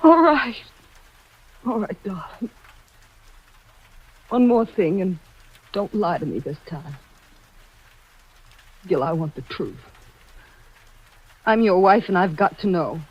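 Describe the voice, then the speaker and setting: A woman's voice: medium pitch, lively intonation, slow pace, long pauses, slightly rough. A woman playing an anxious, devoted wife turned amateur sleuth in old-time radio suspense, with breathless, melodramatic urgency. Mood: pleading, desperate. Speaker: actress narrating and playing the lead. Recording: muffled vintage radio recording with music.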